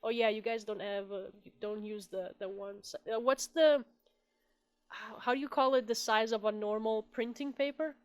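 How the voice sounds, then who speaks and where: A woman in her twenties from Italy, speaking English with an Italian accent as a guest artist on a casual podcast call, blunt and quick to laugh, sometimes searching for words. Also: a little high, fairly even intonation, clean tone, dry recording. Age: 20s. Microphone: video call.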